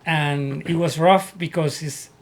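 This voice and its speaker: Puerto Rican-accented man, earnest, rather high. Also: steady tone, slow pace. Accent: Puerto Rican Spanish. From Puerto Rico. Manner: earnest, repeats words as he searches for English. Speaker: guest, army veteran. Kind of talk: casual interview storytelling.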